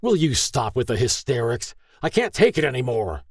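A man voicing a furious, abusive husband in an audiobook, shouting and growling with contempt. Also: medium pitch, very animated, normal speed, dry recording, clean studio sound, voice pushed up. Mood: furious, contemptuous. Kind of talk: audiobook character acting.

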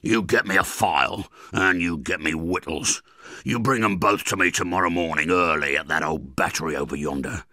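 A rough escaped convict growls out threats in thick rustic dialect, loud and dragging out his words. The voice is dry and close, with a bright, hard edge. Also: sits low, normal speed, no room sound. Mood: menacing. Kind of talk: dramatized book reading.

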